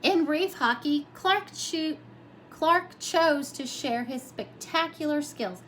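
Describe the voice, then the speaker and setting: A woman reads a picture book aloud for young children. Her voice is high and expressive, and she takes it slowly. There is clear noise in the background. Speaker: pre-K teacher reading aloud. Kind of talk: expressive children's storybook reading.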